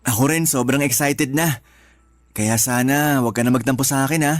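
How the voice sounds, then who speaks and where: A man's voice: mid-range voice, normal speed, no room sound, lightly acted. A man playing a protective, placating husband and father in a radio drama, speaking earnestly. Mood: placating, tender. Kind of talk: radio drama acting in dialogue.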